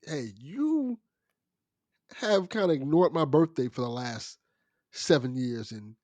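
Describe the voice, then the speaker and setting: A man's voice: fairly high pitch, lively intonation, takes it slowly, darkish tone, dry recording, muffled recording. A man in middle age talking as a guest in a casual podcast discussion. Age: 40s or older.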